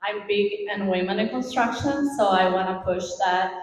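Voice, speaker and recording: A middle-aged woman from Brazil with a Brazilian accent, giving an informal, animated motivational talk on stage in a large room. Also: medium pitch, normal speed. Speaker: invited event speaker. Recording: echoey hall.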